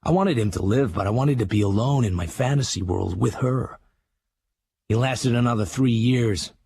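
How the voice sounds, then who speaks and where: An actor playing a haunted, obsessive middle-aged crime writer, narrating his dark memoir in terse confession with a gravelly, husky American voice. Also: medium pitch, normal speed. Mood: bleak, confessional. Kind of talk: scripted first-person crime memoir narration.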